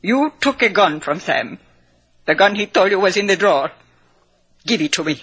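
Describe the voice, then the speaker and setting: An actress playing a crook's proud, hostile wife in an old radio drama, in stiff, dramatic English with a Spanish accent. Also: female voice, medium pitch, expressive, moderate pace, a bit bright, husky. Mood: bitter, demanding. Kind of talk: 1950s radio drama acting.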